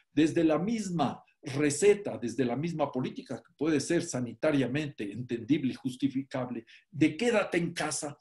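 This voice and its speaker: An older man from Ecuador with an Ecuadorian accent, giving an online lecture from a room at home. He speaks emphatically and deliberately, stacking lists and slowing on key words. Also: on the high side, darkish tone. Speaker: economist giving an online talk. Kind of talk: public lecture. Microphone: laptop webcam on a video call.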